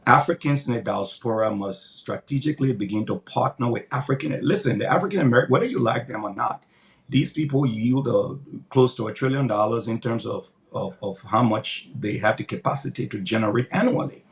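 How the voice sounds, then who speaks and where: A man's voice: mid-range voice, a little fast, vocal fry. An older man, a pastor giving earnest, emphatic interview answers with a preaching cadence, often repeating words as he builds his points.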